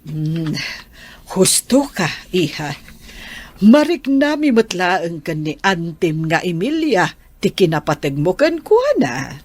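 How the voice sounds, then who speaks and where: A woman playing a caring older aunt who gently advises her niece in a radio drama. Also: lowish voice, big pitch swings, slow, full character voice. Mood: fond. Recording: soft music underneath.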